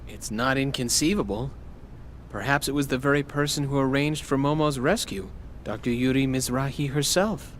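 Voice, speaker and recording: A man's voice: medium pitch, moderate pace, slight background noise, natural voice. An actor playing a thoughtful, somewhat awkward crewman in a sci-fi video game. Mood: speculating.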